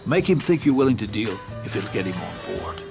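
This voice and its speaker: An actor playing a careful, shrewd American mafia adviser in a video game, instructive and businesslike. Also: male voice, on the low side, very animated, fairly quick. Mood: cautious, instructive. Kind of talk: video game cutscene acting. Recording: music clearly behind the voice.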